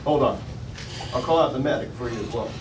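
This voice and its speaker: A man's voice: medium pitch, fast, natural voice. A man as a wry station ranger, far off. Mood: friendly, brisk. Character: wry, teasing male space station radio ranger. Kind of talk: 1950s space serial dialogue, read aloud. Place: busy restaurant table read. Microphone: distant camera microphone. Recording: echoey noisy restaurant, far from camera.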